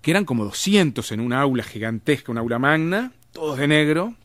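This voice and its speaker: A man's voice: fairly high pitch, sing-song, fairly quick, fairly bright tone, dry recording. A man with an Argentine Spanish accent, telling stories in casual conversation, rambling with frequent restarts and tag questions. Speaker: interviewed author.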